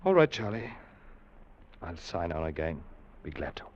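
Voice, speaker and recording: Low-voiced, uneasy ship's mate. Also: male voice, very animated. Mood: wary, surprised. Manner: measured, literary storytelling, urgent in dialogue. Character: loyal, uneasy ship's mate recounting a grim sea tale. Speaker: narrator and actor. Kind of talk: 1940s radio drama acting and narration. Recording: muffled old-time radio recording, music behind.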